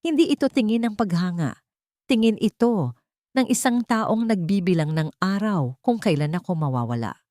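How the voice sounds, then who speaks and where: A woman's voice: medium pitch, animated, moderate pace, dark tone, dry recording, studio quality, natural voice. Uneasy wife confiding in her diary, read by a woman. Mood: uneasy, suspicious.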